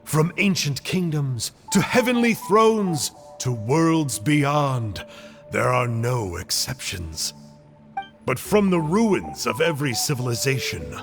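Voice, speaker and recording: A measured, mocking agent of a dark order, speaking slowly with wide swings in pitch. He lectures as if reading from history. Faint game music plays beneath. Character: calm scholarly male cult agent, mocking and cold.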